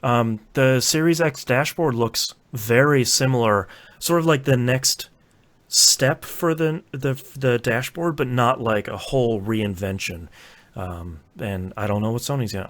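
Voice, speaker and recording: A man chatting casually as a podcast host, informal and halting, often repeating words as he goes. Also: medium pitch, a bit bright. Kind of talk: casual chat.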